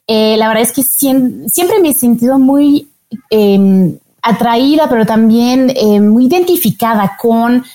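French lady guest, slight french accent, kinda high voice, lots of ums while she thinks. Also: a little slow, mellow. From France. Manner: thoughtful, many hesitations. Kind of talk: conversational interview answers.

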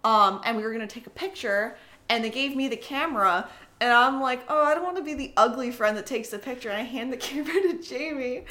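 A woman's voice: on the high side, fairly quick, pushed and bright. A chatty woman in her twenties telling stories casually, with frequent giggles. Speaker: special guest on a podcast Q&A. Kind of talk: casual chat and storytelling.

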